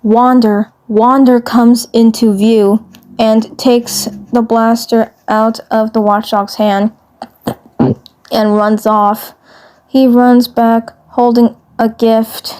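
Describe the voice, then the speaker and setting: Slow, halting woman narrator. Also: on the high side, level tone, mellow. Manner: halting, repeats phrases while reading. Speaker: fan fiction narrator. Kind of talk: storytelling narration.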